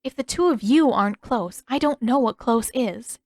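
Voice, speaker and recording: A shy young woman in a fantasy tale, acted by a woman reader, with a lightly raised pitch. She is gentle and sincere, speaking with hesitant, apologetic care. The recording is close and dry. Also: moderate pace, no room sound. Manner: hesitant, careful.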